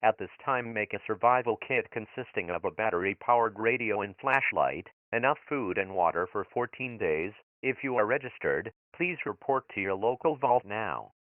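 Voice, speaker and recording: A stern male emergency announcer, voiced by a man, reads out national alert instructions in a flat, formal, measured manner. His voice sits fairly low. The sound is thin and phone-like, with a dry, close quality. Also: no room sound, close to an everyday voice. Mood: urgent. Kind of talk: scripted emergency alert reading. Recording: tinny phone-like dry audio.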